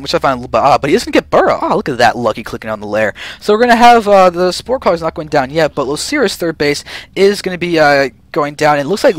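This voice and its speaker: A young man giving live play-by-play commentary on a strategy game match, with an excitable, emphatic delivery. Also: fairly high pitch. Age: young adult. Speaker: esports commentator.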